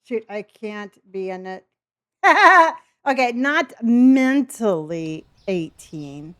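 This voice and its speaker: A woman in her sixties talks with her stream audience in a loose, chatty way. She reads comments aloud and restarts sentences often. Her voice sits a little high for a woman. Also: big pitch swings, very slow, fairly bright tone. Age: 60s. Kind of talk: casual live-stream chat with viewers.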